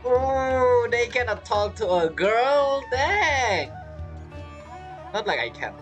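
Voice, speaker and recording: Male streamer, playful, reactive, casual gameplay commentary, lively intonation, faint background music. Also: very high voice, takes it slowly. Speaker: game streamer. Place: indoors at a streaming setup.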